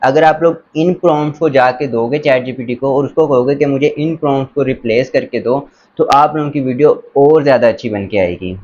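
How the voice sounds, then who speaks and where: A man's voice: mid-range voice, darkish tone. A man presenting a casual step-by-step screen tutorial in an instructional, enthusiastic way, mixing in English words. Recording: dull lo-fi sound, faint background noise.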